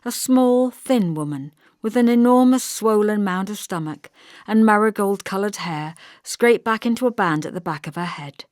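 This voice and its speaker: A woman's voice: mid-range voice, expressive, moderate pace, darkish tone, no echo, clean studio sound. A woman reading scripted first-person literary narration as an audiobook narrator.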